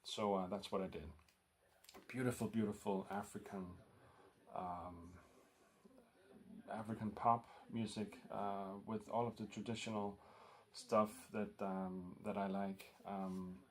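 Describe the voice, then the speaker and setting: A man's voice: fairly low pitch, little pitch movement, slow. A man with a Danish accent, a native Danish speaker, casually showing off records in a rambling vlog full of ums and uhs. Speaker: record collector vlogger.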